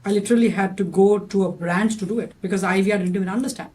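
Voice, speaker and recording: A man's voice: high voice, fairly even intonation, fast, darkish tone. Indian-accented male podcast host. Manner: restarts sentences often, tags "right?". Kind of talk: interview questions.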